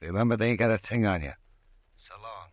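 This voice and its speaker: A man playing a tough, streetwise crook who speaks in a hushed, clipped way over the phone, in a 1940s radio drama. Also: lowish voice, a little fast, dry recording, lightly acted.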